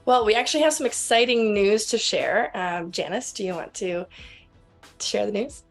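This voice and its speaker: Woman explaining casually, mid pitch. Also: moderate pace, soft background music. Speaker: magazine editor, interview guest. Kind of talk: conversational explaining.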